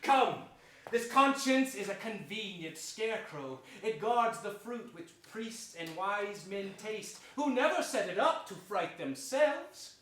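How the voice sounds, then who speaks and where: A man's voice: high-pitched, very animated, fairly bright tone, heavily acted. An actor playing a scheming, sardonic nobleman in a declamatory Shakespearean stage style, sly and falsely courteous. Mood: gloating, cynical. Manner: declamatory, sly, with drawn-out deliberate phrasing. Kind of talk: theatrical Shakespearean stage acting. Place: theater stage before an audience. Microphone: distant stage or camera mic. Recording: roomy stage pickup, distant, faint noise.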